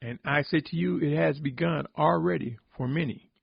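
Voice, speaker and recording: A man giving a solemn, sermon-like podcast reflection, exhorting deliberately with emphatic repetition in a husky, gravelly voice. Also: on the high side, dry recording, muffled recording. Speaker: religious podcast host and preacher.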